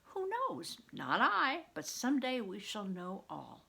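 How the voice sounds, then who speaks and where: Woman reading as a kindly, gravelly monk teacher. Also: mid-range voice, wildly swooping pitch, sharp tone, full character voice. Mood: thoughtful. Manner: archaic, measured, instructive phrasing. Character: kindly patient male monk teacher, archaic speech.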